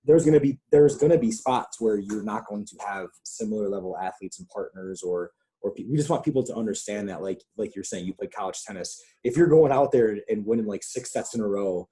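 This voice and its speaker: A man with a husky voice leading a coaches' conference call, explaining guidelines in an informal, conversational way. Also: on the low side, a little fast, dark tone. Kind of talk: informal instructional presentation with questions.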